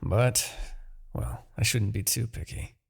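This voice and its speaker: A man playing a smug, menacing demon king, obsessed with his rival, in a theatrical, deliberate fantasy roleplay monologue with a husky, gravelly voice. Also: on the low side, exaggerated voice. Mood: wistful, condescending. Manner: theatrical, gloating, deliberate, grandiose.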